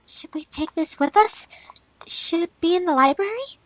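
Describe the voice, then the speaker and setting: A woman plays a young cartoon pony princess, bookish and sympathetic. The voice is very high and sounds set back from the microphone. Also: expressive, normal speed, a bit bright, far from the mic, rough recording. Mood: curious, sympathetic. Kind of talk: animated comic fandub acting.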